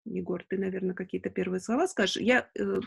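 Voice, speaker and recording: A woman co-hosting a webinar over a video call, giving an informal, halting introduction. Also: mid-range voice, vocal fry, slightly rough, no room sound, studio quality. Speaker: webinar co-host.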